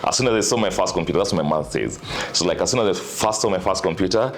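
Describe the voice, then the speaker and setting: A man in his thirties, an investor chatting casually as an interview guest, relaxed and full of fillers. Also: low-pitched, racing. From Kenya. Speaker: venture capital investor, guest.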